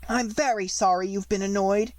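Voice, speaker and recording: A woman's voice: medium pitch, steady tone, moderate pace, no echo, voice pushed up, natural voice. A woman voicing a polite but indignant, puzzled little girl in a storybook, earnest and protesting. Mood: hesitant. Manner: earnest, protesting, hesitant when unsure.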